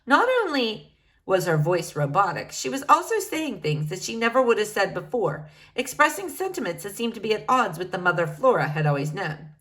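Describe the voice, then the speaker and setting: A woman's voice: mid-range voice, sing-song, normal speed, fairly bright tone. A woman reading a children's novel aloud, shifting her voice for each character as she goes. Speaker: reader.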